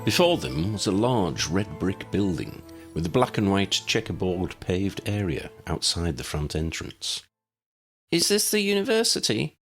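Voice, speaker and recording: A man reading a scripted fiction story as an audiobook narrator, with a husky, gravelly voice. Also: sits low, expressive, a little slow, faint music behind.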